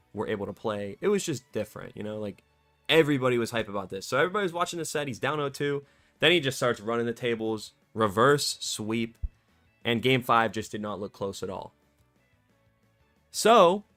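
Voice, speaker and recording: A male streamer chatting casually and excitably on a live stream, his voice a bit scratchy from cheering, in a warm home room. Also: medium pitch. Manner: excitable, slangy, rambling.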